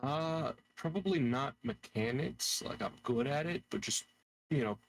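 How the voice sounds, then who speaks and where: A man's voice: medium pitch, animated, measured pace, a little hiss or hum, poor audio. A man playing a tabletop game, answering hesitantly with fillers and trailing-off sentences. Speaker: player. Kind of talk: casual tabletop chat.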